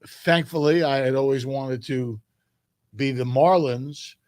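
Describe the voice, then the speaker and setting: An older man from New York reminiscing as an interview guest, speaking in a measured way with emphatic phrasing. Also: mid-range voice, a little mellow, no room sound, studio quality. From New York, United States. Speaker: guest, sports public address announcer.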